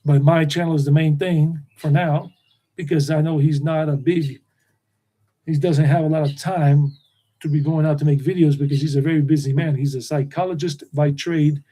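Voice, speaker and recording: Meandering man, mellow voice. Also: on the high side, steady tone, moderate pace. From United States. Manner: meandering, repeats himself. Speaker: tour guide and channel host. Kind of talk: rambling live stream chat.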